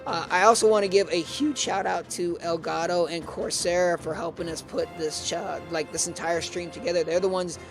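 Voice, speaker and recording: A man's voice: high-pitched, fairly even intonation, moderate pace, hardly any pauses. A man hosting a live game stream, commenting on gameplay and hesitating often with fillers and restarts. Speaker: stream host. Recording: clear music underneath.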